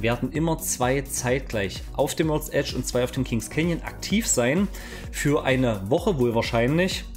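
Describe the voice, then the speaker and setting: A man in his thirties talks as a gaming YouTuber, informal and explanatory. His mid-pitched voice is close on a studio microphone in a home room, with faint music behind. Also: darkish tone. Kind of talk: casual video game news vlog. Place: small indoor home streaming room. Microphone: studio microphone on boom arm, close.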